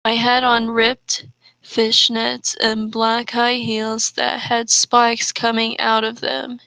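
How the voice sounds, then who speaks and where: A woman with a bright, highish voice reads aloud for comedy. Her delivery is slow and deliberate, halting now and then for mocking asides. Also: completely flat delivery, gravelly, dry recording, rough recording. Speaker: co-host reading and mocking fan fiction. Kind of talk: casual comedic reading aloud.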